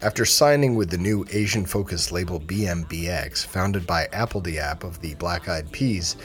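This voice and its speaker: A man hosting an interview podcast, speaking in a measured, articulate way as he introduces and questions his guest. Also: low voice, soft background music.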